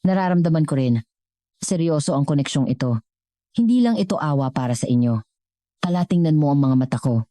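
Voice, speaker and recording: A woman's voice: deep voice, animated, brisk, long pauses, dark tone, dry recording, studio quality. A Filipino-accented woman voicing a wealthy, earnest businessman in love, speaking sincerely and directly in storybook dialogue.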